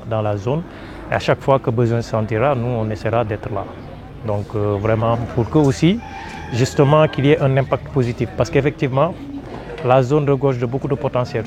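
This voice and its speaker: Male NGO worker, average pitch, explanatory, clear crowd chatter outdoors. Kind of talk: spoken testimonial in interview.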